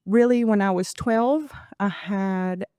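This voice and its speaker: A woman in her forties from Venezuela, a Spanish speaker with a Venezuelan accent, telling her life story as a podcast guest in an animated way. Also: medium pitch, slow pace, clean tone, no room sound, clean studio sound. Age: 40s. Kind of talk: conversational interview.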